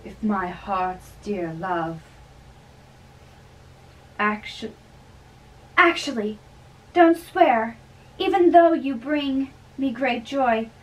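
Young amateur actress, high voice, slow theatrical delivery of classic play lines. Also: female voice, animated. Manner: theatrical, halting as she reads. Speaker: amateur performer. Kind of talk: dramatic play reading. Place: small bedroom, indoors. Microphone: camera a few metres away. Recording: distant mic with background hiss.

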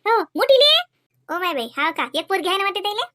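Woman playing village mother, cartoon comedy, very high pitch, sharp tone, chatty, gossipy, rustic Varhadi Marathi accent. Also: sing-song, fast talker, full character voice.

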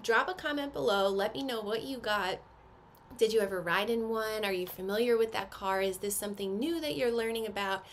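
A woman's voice: mid-range voice, brisk, a little hiss or hum. An American woman in her thirties talks chattily and instructively to camera, presenting products and addressing the viewer with questions. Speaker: presenter of subscription box unboxing. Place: small indoor home office.

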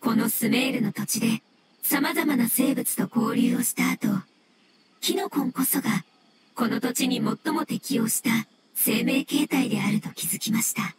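Woman voicing a high-voiced, polite little creature. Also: very noisy, lightly acted. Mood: calm, explaining. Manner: formal, polite, measured. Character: gentle floating fungus elemental creature, earnest and polite. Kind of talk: anime game voice acting. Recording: loud music under voice.

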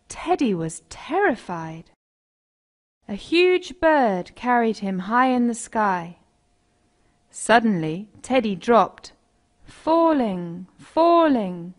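A woman with an American accent narrating a scripted children's story. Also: fairly high pitch.

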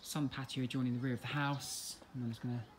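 A man's voice: mid-range voice, quick pace. English man, estate agent tour voice, easygoing patter, phone-camera sound with faint background hiss. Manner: relaxed, chatty, plain sales patter. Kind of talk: walk-through property tour narration. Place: inside a detached house and its back garden. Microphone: handheld phone camera.

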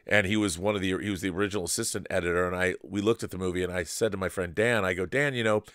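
An older man hosts an interview in a low voice, talking in a reflective, rambling way. He speaks close to a studio mic in a dry home studio. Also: steady tone, very fast, fairly bright tone, smooth, no room sound. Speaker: host. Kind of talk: conversational interview. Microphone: large studio mic with headphones.

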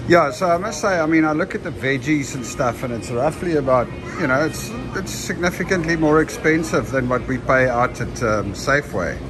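A man's voice: fairly high pitch, expressive, moderate pace, few pauses. A South African man vlogging casually as he walks through a large supermarket, musing aloud with frequent ums. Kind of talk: casual walking vlog. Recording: loud store hum behind voice.

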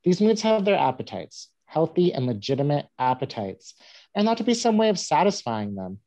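A woman's voice: deep voice, sing-song, moderate pace, dark tone. A woman playing a young girl who mimics a pompous newspaperman, reading aloud in a lofty, declamatory way. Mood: lofty, earnest. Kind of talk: staged play reading, oratory. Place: home room, video call. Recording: muffled video-call audio.

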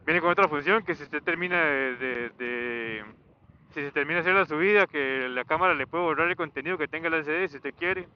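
A man's voice: on the high side, brisk. A man chatting casually and unscripted as he rides a motorcycle, rambling, hesitant and repeating himself, recorded through a helmet intercom microphone. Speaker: motorcycle vlogger. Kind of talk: casual unscripted chat while riding. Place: outdoors, riding a motorcycle on a highway. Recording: muffled helmet intercom mic, steady vehicle noise. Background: faint motor and road noise.

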